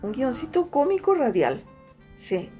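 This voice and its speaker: A middle-aged Paraguayan woman writer, a native Guaraní speaker, answering interview questions in an unhurried, reflective way with frequent fillers and repetitions. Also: sits high, very animated, mellow, faint music behind. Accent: Paraguayan Spanish.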